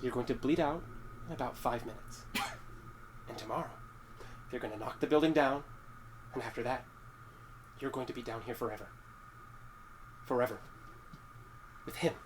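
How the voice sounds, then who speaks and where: A man's voice: mid-range voice, normal speed, pauses often, a little hiss or hum, natural voice, poor audio. An actor playing a resentful, unhinged young man in a horror audio drama, with an accusatory, menacing manner. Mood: cold, menacing.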